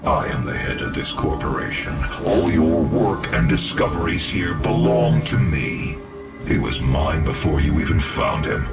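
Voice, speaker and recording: A man playing a calm, commanding cyborg scientist and company chief in a game, speaking in a measured, formal way. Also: very deep voice. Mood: stern, cold. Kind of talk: scripted game cutscene acting. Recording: distant voice under loud game music.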